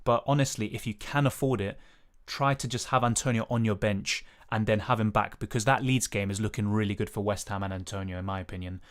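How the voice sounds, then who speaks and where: Young man, raspy, lower register, casual live-stream Q&A host. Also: steady tone, brisk. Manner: casual, hedging, many fillers. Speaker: fantasy football streamer. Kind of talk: live stream Q&A, reading chat.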